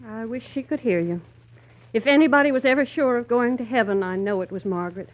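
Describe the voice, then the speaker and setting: Pious, sincere wife and mother voiced by an actress, gently chiding, period radio-drama delivery, phone-like vintage sound. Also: fairly high pitch, fast, natural voice. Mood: fond, wistful. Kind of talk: 1930s radio drama acting. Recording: thin, narrow vintage 1930s radio broadcast.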